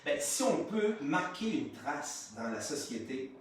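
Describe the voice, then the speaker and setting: A man's voice: a little high, very animated, unhurried. A man in his fifties with a Québécois accent, a painter chatting live and hesitant, with many fillers and repeated words. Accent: Québécois French. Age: 50s. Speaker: painter, featured artist. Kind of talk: casual live conversation. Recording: echoey room, distant mic, faint noise.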